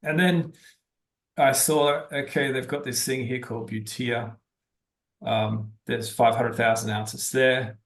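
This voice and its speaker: A man giving unscripted explanations as an interview guest, talking haltingly as he thinks aloud. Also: mid-range voice, fairly even intonation, fairly bright tone. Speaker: interview guest, geology-minded stock investor.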